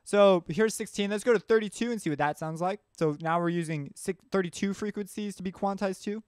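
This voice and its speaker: Male tutorial host, smooth and slightly high, informal step-by-step explainer, dry studio-close sound. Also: a little fast, a little mellow, no echo. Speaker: music production tutorial host. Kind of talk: casual screen-recorded software tutorial.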